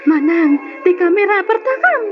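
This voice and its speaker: A woman's voice: very high-pitched, lively intonation, normal speed. A woman playing a cheeky, sentimental younger sister in an Ilocano radio drama, with teasing, exclamatory delivery. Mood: excited, tearful. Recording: dull lo-fi radio recording with music.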